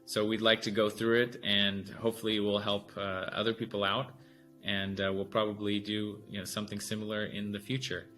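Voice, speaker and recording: This is a man hosting a relaxed talk show. He has a fairly low but forceful, bright-edged voice. He works through his points loosely, often stopping to find the right word. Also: normal speed, faint music behind. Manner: loose, searching explanations with many restarts. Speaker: host. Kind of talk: casual podcast chat.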